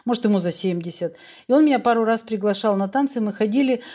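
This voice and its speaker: A woman's voice: on the high side, quick pace. Frank woman around sixty, chatty storyteller. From Russia. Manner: frank, animated, tells anecdotes with quoted dialogue. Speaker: podcast guest. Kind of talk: candid conversational storytelling.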